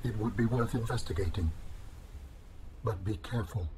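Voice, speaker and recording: Guy doing a formal alien mind from a game, calm and low, noisy background. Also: natural voice. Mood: calm, cautioning. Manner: measured, formal. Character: calm, formal male alien intelligence companion. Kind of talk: scripted game dialogue.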